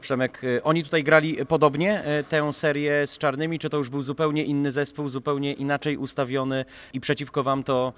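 A man's voice: a little high, flat delivery, brisk, hardly any pauses, fairly bright tone, clean tone. A man hosting a sports podcast, talking through games and putting questions to a guest. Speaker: podcast host. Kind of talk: sports talk and interviewing.

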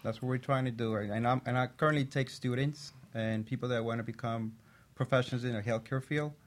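A man who works as a physician assistant, a guest on a talk show, telling a personal story in an earnest, steady way. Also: medium pitch, fairly quick.

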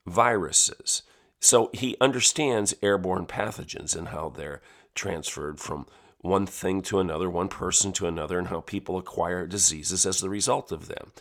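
A man hosting his own talk show, hoarse and growly from a head and chest cold, delivering an emphatic opinion monologue. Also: low voice, fairly bright tone. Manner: emphatic, piling up lists of words. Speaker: podcast and radio host.

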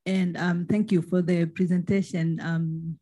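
A woman with a Tanzanian accent asking a question and commenting at a seminar, with hesitant, pause-filled phrasing. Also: on the low side, level tone, dark tone. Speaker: social protection expert, audience member.

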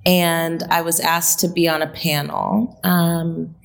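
A woman's voice: lowish voice, little pitch movement, slow, vocal fry. A woman in her late thirties, a guest telling a story in a reflective, conversational way with frequent fillers. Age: late 30s. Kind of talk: conversational interview.

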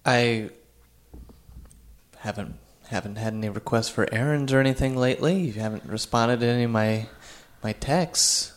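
A man's voice: mid-range voice, normal speed, lightly acted. A man playing a needy, wounded, exasperated errand helper in improvised radio comedy, whiny and indignant. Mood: hurt, suspicious.

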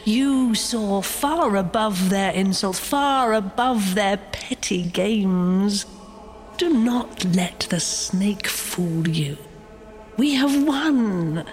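Woman as unhurried, loyal angel. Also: mid-range voice, lively intonation, faint music behind. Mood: reassuring. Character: loyal, encouraging female angel. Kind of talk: audio drama acting.

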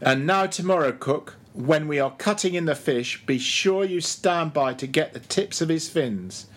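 Man playing a bossy, high-pitched ship's mate. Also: unhurried. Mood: instructing. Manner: commanding, interrogating, mock-solemn. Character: bossy, mocking male ship's mate, badgering and pompous.

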